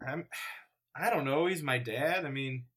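An actor playing a grown son who is a spy, with a rambling, stammering, sheepish way of talking. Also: male voice, mid-range voice, a little slow, fairly bright tone, slightly rough. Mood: whiny.

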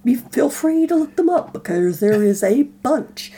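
A woman co-hosting a casual podcast, explaining things in a halting, thoughtful way. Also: high-pitched, very animated, warm tone, husky. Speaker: podcast co-host.

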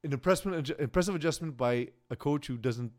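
A man co-hosting a casual sports talk show, rambling and laughing as he goes, often trailing off quietly. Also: fairly high pitch, fairly quick. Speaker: podcast co-host.

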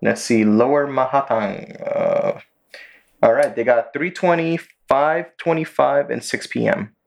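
A man hosting a podcast in casual chat, rambling through stories with quick asides. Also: medium pitch, vocal fry. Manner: rambling storyteller, sings and does quick voices. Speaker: podcast host.

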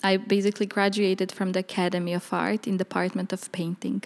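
A woman's voice: medium pitch, little pitch movement, brisk, creaky, long reverb tail. A young woman from Bosnia, an artist, talking candidly and reflectively in an interview, often laughing. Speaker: artist guest. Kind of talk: conversational interview.

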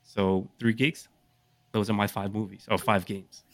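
A man's voice: fairly low pitch, level tone, measured pace. A man in casual chat as a podcast panelist.

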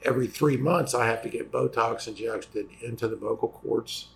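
A man with a raspy voice, a lawyer hosting a casual explanatory talk, halting with fillers and restarts as he loses his voice. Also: medium pitch, a little hiss or hum, poor audio. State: losing voice after vocal cord injections. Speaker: personal injury lawyer and host.

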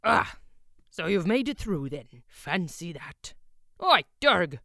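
A woman voicing a gruff, brusque older male blacksmith who barks his lines in a rustic, old-fashioned dialect, recorded dry. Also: fairly low pitch, very animated, slow, bright, forceful tone, no room sound, full character voice. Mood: jovial.

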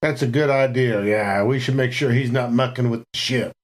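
A tabletop player chatting easily about what his character does, always in the third person. His voice sits in a middle pitch and stays relaxed. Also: moderate pace. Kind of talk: casual tabletop game play.

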